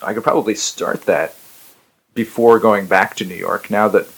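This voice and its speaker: A man, a guest poet on a podcast, talking in a halting, self-mocking way with a voice roughened and gravelly after a rough week. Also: on the low side, fairly even intonation, rough recording. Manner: dry self-mocking humor, halting with fillers. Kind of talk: casual podcast chat.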